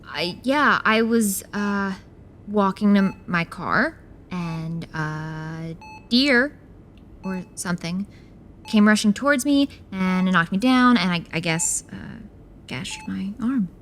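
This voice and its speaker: A woman playing a wry, stubborn young heroine in a horror audio drama, with a halting, dry delivery. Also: medium pitch, expressive, a little hiss or hum. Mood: weak, evasive.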